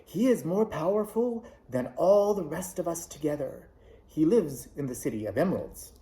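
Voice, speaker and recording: A man voicing a kindly little old witch woman in a storybook reading, with a gentle, solemn manner, raised higher than his own voice. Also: lively intonation, moderate pace, lightly acted. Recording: echoey room.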